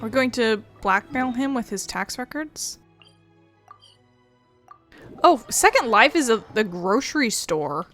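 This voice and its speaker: A woman's voice: a little high, very animated, takes it slowly, pauses often, fairly bright tone. A woman giving casual, offhand gameplay commentary, wry and prone to swearing. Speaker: video game streamer. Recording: game music under the commentary.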